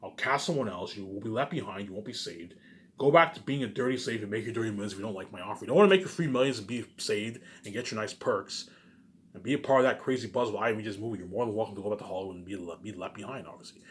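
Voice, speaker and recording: A man's voice: on the low side, fast. A man in a rambling casual monologue, boastful and repetitive, with emphatic bursts that trail off. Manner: boastful, self-assured.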